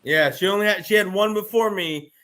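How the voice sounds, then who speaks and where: A man's voice: sits high, a little fast, bright, forceful tone. A man chatting casually as a panelist, restarting his phrases again and again. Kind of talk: casual chat.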